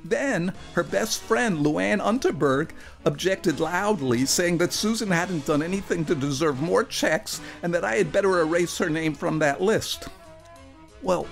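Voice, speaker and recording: Male narrator for a radio monologue: fairly high voice with fry, animated, wryly earnest storytelling. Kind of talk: dramatic first-person storytelling for radio.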